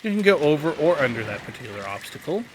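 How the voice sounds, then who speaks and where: A man's voice: on the high side, big pitch swings, quick pace, a little hiss or hum. A man giving a casual, instructive video game tutorial in an opinionated, emphatic way. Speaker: video game guide host.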